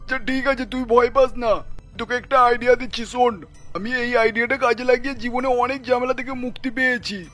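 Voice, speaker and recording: Man voicing a jovial high-voiced father. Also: fairly even intonation, fairly quick, fairly bright tone, faint music behind. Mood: conspiratorial. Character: jovial, teasing middle-aged father with sly advice. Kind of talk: cartoon story dialogue.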